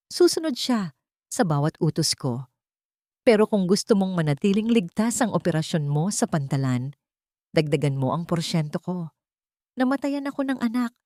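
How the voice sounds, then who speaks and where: A woman's voice: fairly low pitch, a little mellow, no room sound, clean studio sound. A woman voicing a greedy, scheming older mother who feigns piety, in an audiobook drama.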